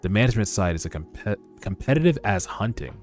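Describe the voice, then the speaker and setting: A man voicing a polite, businesslike corporate recruiter in a measured, formal way for a webtoon read-aloud. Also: fairly low pitch, sing-song. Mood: measured, courteous. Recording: background music under voice.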